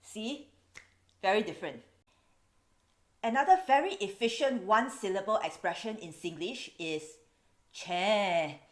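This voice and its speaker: A woman in her forties from Singapore with a Singaporean English accent, explaining things in a measured, wry vlog. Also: medium pitch, lively intonation. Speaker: video host. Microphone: camera's built-in mic.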